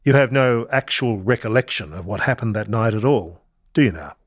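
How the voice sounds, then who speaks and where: A man's voice: fairly low pitch, expressive, normal speed, no echo, studio quality. An actor playing a sceptical, accusatory male barrister, making assertive challenges in a re-enacted cross-examination. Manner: assertive statements ending in challenges.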